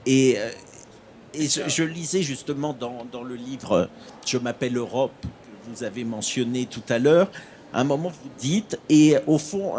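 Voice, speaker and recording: A man moderating a conference panel. He asks questions hesitantly and fills his pauses with "euh". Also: mid-range voice, darkish tone, slight background noise.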